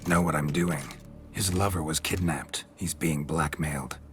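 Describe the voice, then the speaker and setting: Stoic monster hunter, very low voice, clipped deadpan delivery, world-weary, video game, clear background music. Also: male voice, normal speed. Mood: calm, matter-of-fact.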